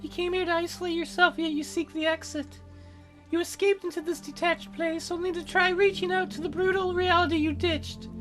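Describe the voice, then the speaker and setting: Man putting on a high, gloomy lonely girl. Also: level tone, a little fast, over music. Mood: cryptic. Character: sad, lonely young girl, listless and cryptic. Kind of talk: visual novel character read-aloud.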